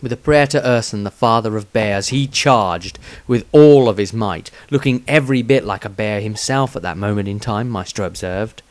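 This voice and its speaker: A man reading his own fantasy story as dramatic scripted narration, in a measured, suspenseful storytelling manner. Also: fairly low pitch, no room sound.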